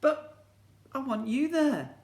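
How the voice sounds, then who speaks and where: A man's voice: very high voice, sing-song, takes it slowly, a little mellow, voice pushed up, exaggerated voice. A man voicing an eager, clingy little boy from a storybook, with a childlike questioning delivery. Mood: anxious, pleading. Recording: echoey room.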